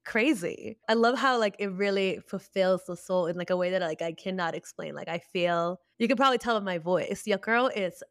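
A woman's voice: mid-range voice, normal speed, hardly any pauses, clean tone, no room sound, studio quality. A young woman from Peru co-hosting a podcast, chatting casually in a bubbly way, with lots of "like" and laughter. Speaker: podcast co-host. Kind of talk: casual chat.

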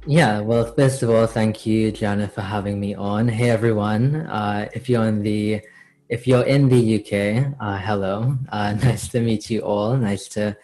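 A man's voice: fairly low pitch, steady tone, unhurried, dark tone, low-quality recording. A man from Trinidad who grew up in South Florida, speaking as a podcast guest in a friendly, easygoing, conversational way. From born in Trinidad, raised in South Florida. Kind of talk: podcast interview.

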